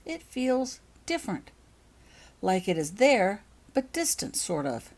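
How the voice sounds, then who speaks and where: A woman voicing an uncertain, earnest young schoolgirl witch in a storybook reading, with a halting, exclaiming manner. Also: medium pitch, sing-song, voice pushed up, heavily acted. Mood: hesitant.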